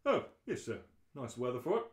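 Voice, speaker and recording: A man's voice: mid-range voice, sing-song, a little fast, lightly acted. A man playing a chatty, deferential pub barman making obliging small talk in an amateur radio play. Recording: roomy, echoing live reading.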